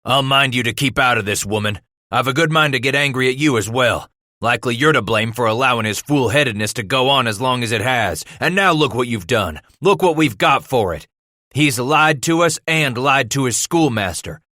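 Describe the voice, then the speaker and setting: A stern, gruff frontier patriarch played by a male narrator thunders through a historical audiobook. His speech is folksy and forceful, with a bright, hard edge and a dry, close sound. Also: lowish voice, normal speed, hardly any pauses, no room sound. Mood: furious, thundering. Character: angry domineering frontier father, gruff and stern.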